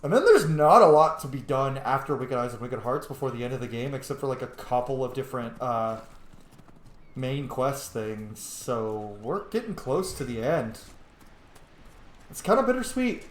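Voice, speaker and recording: A man's voice: mid-range voice, lively intonation, moderate pace. Casual man gaming in an echoey room. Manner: rambling, profane. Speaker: gameplay streamer. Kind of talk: casual gameplay commentary. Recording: roomy echo, some background noise.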